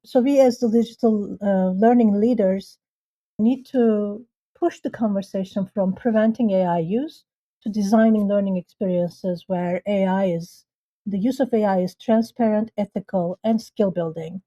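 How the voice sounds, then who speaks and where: A woman's voice: mid-range voice, normal speed, mellow, lo-fi sound. A woman from Turkey, a university leader and guest, answering thoughtfully with occasional restarts. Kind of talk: panel discussion answer.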